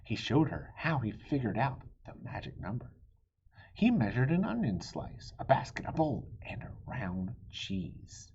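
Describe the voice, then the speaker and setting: A man narrates a children's storybook aloud. His voice is very husky and breathy, with lively, expressive pitch movement. The recording is slightly muffled. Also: a little slow. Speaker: storybook reader.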